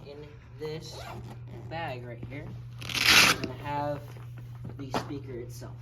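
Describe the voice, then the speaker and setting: Casual tutorial instructor over clear background noise. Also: mid-range voice, fairly even intonation, slow pace. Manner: casual, step-by-step explaining. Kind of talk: hands-on tutorial demonstration. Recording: noisy amateur video recording, background noise.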